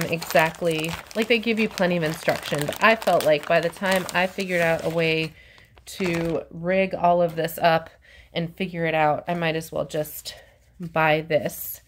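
A woman's voice: fairly low pitch, a little hiss or hum. A woman in her forties, congested and a bit nasal, chatting casually to a phone camera at home with lots of ums and likes. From North Carolina, USA. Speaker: artist vlogger. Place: small room at home, indoors. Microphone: phone camera at arm's length.

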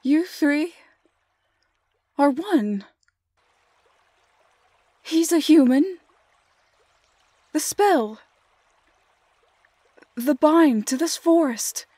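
A woman playing a tender, anguished young woman in a fantasy audio roleplay, speaking in a hushed, hesitant, halting way. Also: sits high, expressive, no room sound, full character voice. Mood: sorrowful, shaken.

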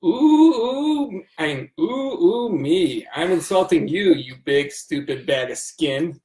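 A man's voice: high-pitched, lively intonation, slow, darkish tone, roomy sound. A demanding, haughty tomcat from a storybook, as a man acts him out. He talks pompously and dramatically, throwing out insults, and sits a little way back from the mic. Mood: insulting. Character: demanding, insulting, haughty male talking tabby cat. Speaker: reader of the cat's part. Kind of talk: amateur dramatic book reading.

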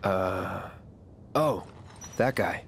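An actor with an American accent playing an aloof, hard-edged young mercenary with a terse, guarded delivery in a video game. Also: male voice, deep voice, extremely slow, vocal fry, a little hiss or hum, exaggerated voice. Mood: guarded, quiet. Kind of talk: video game cutscene voice acting.

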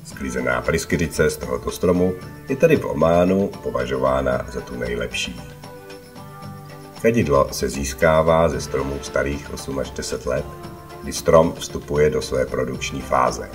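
A man with an extremely deep, low voice narrates a travelogue as the vlog's storyteller. He reads scripted narration over clearly audible background music.

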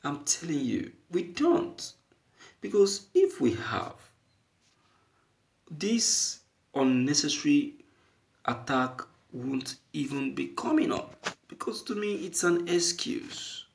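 A man giving opinionated news commentary as an online commentator, halting and deliberate, repeating phrases emphatically for stress. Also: on the high side, big pitch swings, gravelly.

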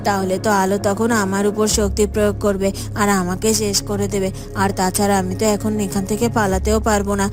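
A frightened female schemer in a cartoon fairytale, voiced by a woman, worries in a mid-pitched voice. Her anxious, repetitive speech stays fairly flat in melody over clear music. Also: natural voice. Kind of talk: cartoon dubbing.

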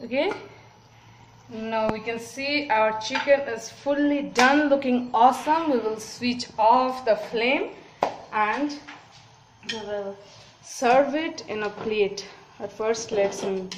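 Slow, high-voiced woman teaching a recipe. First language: Bengali. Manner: calm, instructive, many "okay, so" fillers. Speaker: cooking video host. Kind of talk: step-by-step cooking tutorial. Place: home kitchen at a gas stove. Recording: home recording with clear kitchen noise. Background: clinking pots, pans and cutlery.

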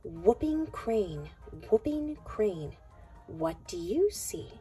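Woman, children's read-aloud, very slow sing-song pacing, soft mellow tone, faint music behind. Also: medium pitch, very animated. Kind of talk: picture book read-aloud for young children.

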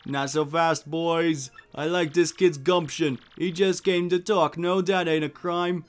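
A man voicing a gruff, menacing mob boss in a video game, with tough-guy gangster slang. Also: fairly high pitch, steady tone, a little slow, soft background music, close to an everyday voice. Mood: bossy, bargaining. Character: gruff, menacing male mob boss, big cartoon creature. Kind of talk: video game character dub.

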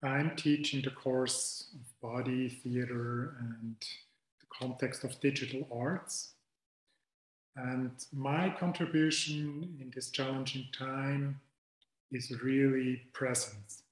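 A middle-aged man from Switzerland, a faculty member, giving an earnest and reflective webcam talk that trails off softly at the ends of phrases. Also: mid-range voice, fairly even intonation, slow. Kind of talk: recorded video invitation. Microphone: video call webcam. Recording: very echoey room.

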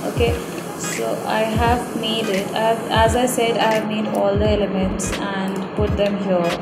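A woman's voice: medium pitch, little pitch movement, unhurried. A young woman, casually talking viewers through a design tutorial with many fillers and restarts. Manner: chatty, direct address with many fillers and restarts. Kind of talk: casual screen-recorded tutorial. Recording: roomy voice-over with loud background music.

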